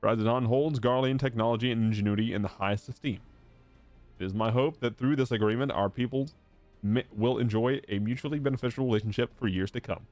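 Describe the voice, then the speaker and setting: A man's voice: medium pitch, darkish tone, dry recording, lightly acted. Man reading as a formal, earnest fantasy statesman. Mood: solemn, proud. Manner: formal, measured, declamatory. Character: formal, earnest statesman addressing his war-weary nation. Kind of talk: reading fantasy game dialogue aloud. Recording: game music playing behind the voice.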